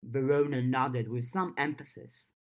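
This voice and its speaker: Low-voiced, unhurried woman narrating. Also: fairly even intonation. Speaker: audiobook narrator. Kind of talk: scripted fiction narration.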